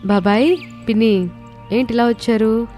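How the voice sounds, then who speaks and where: A woman voicing a shrewd, sarcastic young village daughter-in-law in an animated moral story, outwardly polite but firm. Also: on the high side, unhurried, faint music behind, close to an everyday voice. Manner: firm, slyly sweet.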